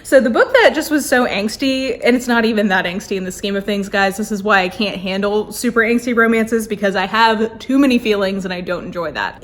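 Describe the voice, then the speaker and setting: A woman's voice: on the high side, a bit bright. A woman chatting casually and effusively as a book vlogger, with an informal delivery full of "like".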